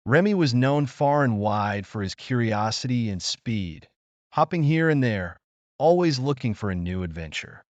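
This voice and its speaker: A man narrating a scripted children's story in an expressive, measured storytelling manner. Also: mid-range voice, no echo, highs cut off.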